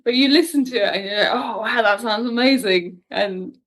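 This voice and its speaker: A woman's voice: on the high side, normal speed, fairly bright tone. A British woman speaking casually and emphatically as an interview guest, telling stories with self-mocking humour. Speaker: guest, audio drama and TV writer.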